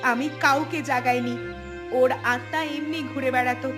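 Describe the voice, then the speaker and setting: A woman's voice: sits high, steady tone, moderate pace, close to an everyday voice. A woman voicing a defiant young student, a village daughter, in a cartoon story. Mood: pleading, earnest. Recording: clear music under the voice.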